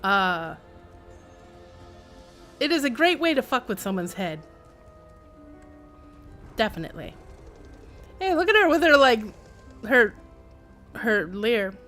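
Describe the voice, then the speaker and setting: High-pitched woman, wry game streamer. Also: very animated, slow, pauses often, fairly bright tone, soft background music. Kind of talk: casual game-stream commentary and reading in-game text aloud.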